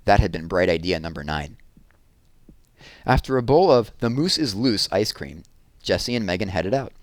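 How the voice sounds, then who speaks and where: A man's voice: low voice, expressive, normal speed, no room sound. A man reading a children's book aloud as a storyteller.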